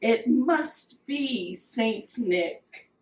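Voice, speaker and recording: A woman telling a children's story as Mrs. Claus, reading expressively and deliberately with dramatic, emphatic pauses, recorded with the microphone at a distance. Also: fairly high pitch, lively intonation. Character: Mrs. Claus, cheerful storyteller wife of Santa. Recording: roomy echo, distant microphone.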